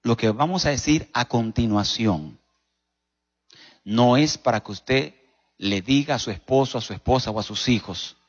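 A man with a Dominican accent preaching a sermon as a pastor, telling stories emphatically with rhetorical questions and dramatic pauses. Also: medium pitch, breathy edge. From Dominican Republic. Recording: dull recording in a reverberant hall.

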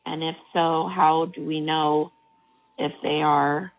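A woman caller with a scratchy voice politely asking a radio pastor a question at a slow pace. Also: deep voice, flat delivery. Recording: tinny phone line.

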